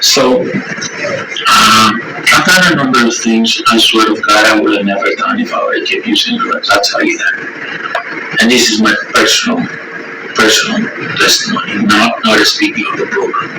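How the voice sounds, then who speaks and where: A man's voice: on the low side, huge pitch swings, moderate pace, loud music behind the voice. Middle-aged man, candid rambling testimony, distant mic. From Ecuador. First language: Spanish. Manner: rambling, repeats words, swears. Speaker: interviewee sharing recovery story. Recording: echoey room from far off, heavy noise.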